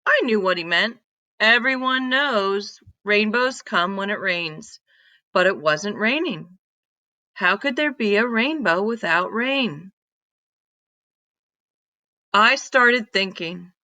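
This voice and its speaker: Lady doing a kids read-aloud, slow and expressive, bright voice, pretty dry sound. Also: medium pitch, no echo. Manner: deliberate, animated reading with emphatic exclamations. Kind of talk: expressive children's story narration.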